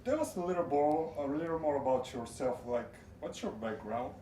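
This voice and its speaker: A man's voice: a little high, expressive, moderate pace, warm tone, distant mic, slight background noise. A man interviewing a musician, asking short questions with hesitant restarts. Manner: brief reactions, hesitant restarts. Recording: echoey, from off-mic.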